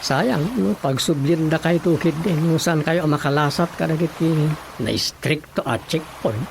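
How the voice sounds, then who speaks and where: A man's voice: on the high side, measured pace, creaky, background noise. A man with an Ilocano accent acting in a Filipino radio drama as a village man. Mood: concerned. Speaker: radio drama narrator and actor. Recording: music bed behind the voice.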